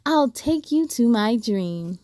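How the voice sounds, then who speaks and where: A woman voicing a gentle, eager ten-year-old girl in a storybook, with an expressive, wondering and inviting manner. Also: a little high, slow pace, no room sound. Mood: dreamy wonder.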